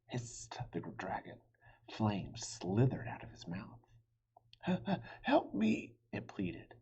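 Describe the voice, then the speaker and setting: A man narrates a children's storybook at an unhurried pace with very animated pitch. His voice is a little husky, and the recording sounds slightly dull. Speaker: storybook reader. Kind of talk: expressive read-aloud narration for children.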